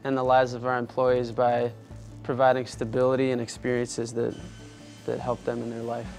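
A man's voice: medium pitch, completely flat delivery, moderate pace, music in the background. A man in his thirties talking earnestly and upbeat about his furniture business in a casual interview, recorded in a workshop on a lapel mic. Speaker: small business owner.

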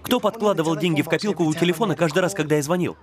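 A man's voice: a little high, racing, crowd noise, natural voice. A man voicing a cheeky, defensive working-class young man with slangy speech in a Russian TV drama dub. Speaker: dubbing actor.

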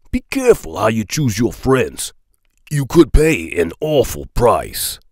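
Male narrator for children's rhymes. Playful and expressive, big pitch swings, measured pace, very dry sound. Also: dry recording. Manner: playful, deliberate, sing-song delivery of rhyming verse. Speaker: children's book read-aloud narrator. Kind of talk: expressive children's poetry storybook reading.

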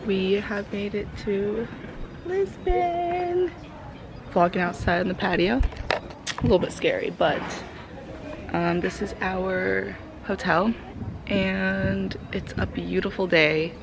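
Cheery young woman vlogger, slight rasp, handheld camera, busy street noise behind. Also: mid-range voice, slow. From United States. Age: 20s. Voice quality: slightly raspy. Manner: bubbly, chatty. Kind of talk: casual travel vlog. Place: outdoors on a city balcony and streets. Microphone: handheld camera at arm's length. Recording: noisy street ambience.

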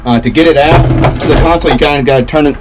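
A man's voice: on the high side, very fast, very noisy. A man in his thirties casually walking through a boat wiring job step by step, with plenty of fillers, filmed on a close action camera outdoors. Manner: relaxed, explaining step by step with fillers. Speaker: boat DIY vlogger. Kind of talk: casual how-to walkthrough.

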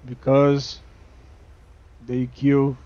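A Brazilian man with a Brazilian Portuguese accent, chatting casually over gameplay, halting, with many fillers and restarts. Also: mid-range voice, monotone, dark tone, dry recording, lo-fi sound. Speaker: gaming YouTuber. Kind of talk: casual game commentary.

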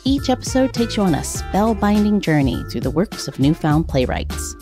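Animated woman announcer over guitar music. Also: lowish voice, normal speed. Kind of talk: scripted promotional narration.

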